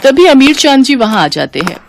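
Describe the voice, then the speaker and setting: A woman narrating a scripted moral bedtime story as an expressive storyteller. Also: high voice, normal speed, gravelly, noisy background.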